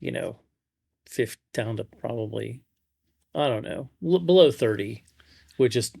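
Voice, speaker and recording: A man's voice: medium pitch, expressive, unhurried, gravelly, darkish tone, slightly rough, no echo, clean studio sound. A middle-aged man chatting casually as a podcast co-host, often repeating and restarting his phrases. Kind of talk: casual chat.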